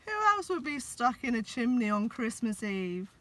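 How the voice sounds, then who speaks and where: Woman putting on a loud, growly, gruff Santa. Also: a little high, sing-song, normal speed. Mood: grumpy. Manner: loud, bellowing, emphatic. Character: big gruff old Santa Claus, exasperated, stuck in chimney. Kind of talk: children's storybook read-aloud.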